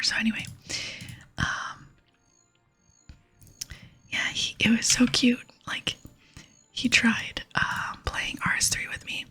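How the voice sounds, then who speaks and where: Whispering young American woman, very breathy. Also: a little high. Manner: soft, intimate, rambling. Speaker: host of an ASMR gaming series. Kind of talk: ASMR whispering, casual chat. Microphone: close microphone.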